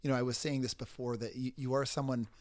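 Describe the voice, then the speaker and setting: A man hosting an interview, speaking haltingly with many repeated words and restarts. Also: mid-range voice, level tone, a little mellow, no room sound. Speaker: interview host. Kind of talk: casual conversational interview.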